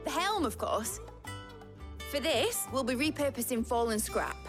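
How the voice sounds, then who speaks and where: A young woman with a fairly high voice. She is a breezy, self-assured inventor type, with clear guitar music behind her. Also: lively intonation, measured pace. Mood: upbeat. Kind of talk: video game voice acting.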